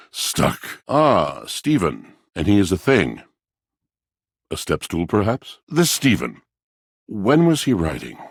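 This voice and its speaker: A very deep, rough-edged game character: a gloomy, proud old washing machine set in his ways. He broods theatrically in ornate, formal phrases, recorded close and dry. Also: dry recording, full character voice. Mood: brooding, hesitant, then doubtful, haughty. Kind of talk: video game character voice acting.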